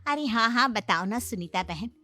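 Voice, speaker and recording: A woman's voice: fairly high pitch, expressive, moderate pace, bright, forceful tone, faint music behind. A woman voicing a nosy, eager middle-aged neighbor in a cartoon story, always hungry for gossip.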